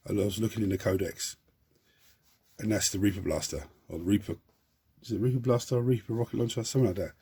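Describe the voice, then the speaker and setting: Hoarse, croaky hobby host. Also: male voice, lowish voice, fast talker, warm tone. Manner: chatty, rambling, repeats and restarts words. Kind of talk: model-building tutorial talk.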